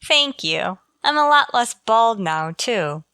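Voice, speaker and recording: A woman plays a wry, quick-witted schoolteacher in a radio sitcom. Her voice is bright and animated, recorded close and dry. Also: a little high, no echo, exaggerated voice. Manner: wry, deferential sarcasm. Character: wry, quick-witted female high school English teacher. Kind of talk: old-time radio sitcom acting.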